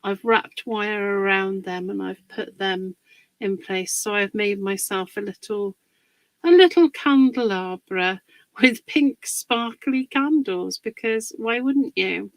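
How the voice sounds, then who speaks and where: Husky woman hosting a slow craft livestream. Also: mid-range voice, no room sound, poor audio. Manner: chatty, greets viewers by name. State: husky from a cold. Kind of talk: casual live craft demonstration.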